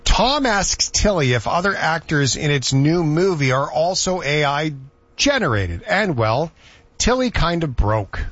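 A man's voice: a little high, sing-song, measured pace, a bit bright. A man hosting a radio show, chatting casually and telling stories. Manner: chatty, storytelling. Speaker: radio host. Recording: dull lo-fi radio audio.

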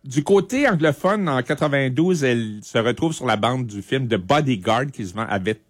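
A middle-aged man with a Quebec French accent, presenting a music segment on radio in a conversational, halting, informative way. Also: medium pitch, expressive, dry recording, studio quality.

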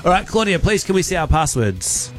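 A man hosting a radio game segment, chatting and joking quickly. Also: high-pitched, sing-song, over music. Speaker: radio host. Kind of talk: breakfast radio banter.

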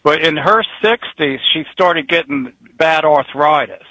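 A man's voice: a little high, measured pace, sharp tone, no room sound, rough recording. A man in his early sixties, a retired truck driver calling in, talking in a rambling, folksy way and chuckling between thoughts. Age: 60s. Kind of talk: casual phone-in chat.

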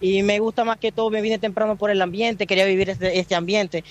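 A man's voice: high voice, flat delivery, brisk, highs cut off. A man being interviewed as a football fan, speaking hesitantly with many fillers.

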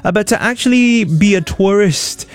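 Male radio host with a Singaporean accent and a slightly high voice, casual, storytelling, studio mic. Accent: Singaporean English. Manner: chatty, storytelling, with quick asides.

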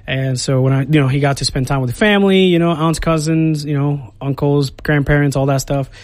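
A man's voice: on the high side, fairly even intonation, brisk, darkish tone, dry recording. A man from Puerto Rico in his thirties, an Air Force recruiter, talking casually in a radio studio interview with many fillers and restarts.

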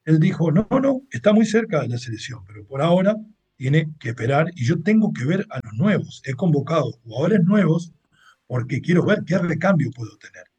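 A husky-voiced Mexican man runs a football talk segment, running through fixtures and adding his own opinions. His voice sits a little high and carries a slight rasp. His delivery is chatty and conversational rather than scripted. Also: sing-song, brisk, a little mellow. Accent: Mexican Spanish. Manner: chatty, opinionated, translating clips. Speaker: football show host. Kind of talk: casual sports commentary monologue.

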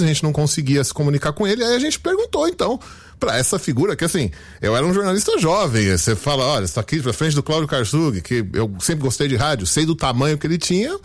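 A man's voice: fairly high pitch, very animated, quick pace. A man with a Brazilian Portuguese accent, a radio reporter telling a memory of a colleague in a conversational storytelling manner. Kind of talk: recalling a colleague on air.

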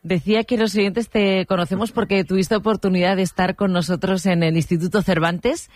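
A man's voice: sits high, fairly even intonation, a little fast, no room sound. A radio host interviewing callers, repeating his questions and reassuring them patiently.

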